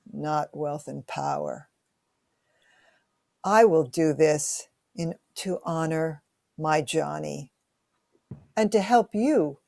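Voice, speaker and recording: An earnest young woman from a radio play, voiced by a woman, making heartfelt promises in a slow, gravelly voice. Her pitch is a bit low. The sound is dry and close. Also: darkish tone, dry recording. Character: earnest young woman vowing to cure disease. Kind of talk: radio drama acting.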